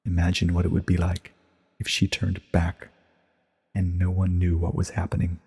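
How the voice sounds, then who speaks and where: Man as ancient pony princess, deep, heavily husky, grave, weary, regretful, storybook drama, long reverb. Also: completely flat delivery, warm tone, full character voice. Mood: solemn, resigned. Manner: grave, measured, confessional.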